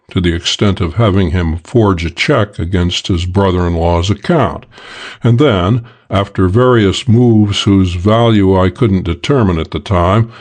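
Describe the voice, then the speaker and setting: A man narrating a hardboiled detective audiobook in the first person, in a measured, dry manner. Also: low voice, a little mellow.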